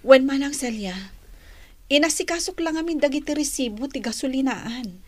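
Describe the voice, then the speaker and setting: A woman's voice: high-pitched, expressive, normal speed, darkish tone, no echo. An actress playing a polite young lady of the house in a radio drama.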